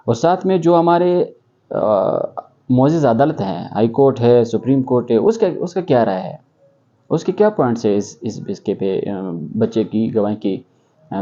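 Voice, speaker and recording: A man with a Pakistani accent delivers an explanatory legal lecture in a very dark, soft-edged voice. He sits at a medium pitch and often restates his points, dropping in English legal terms. The audio is dull and lo-fi. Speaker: law lecturer.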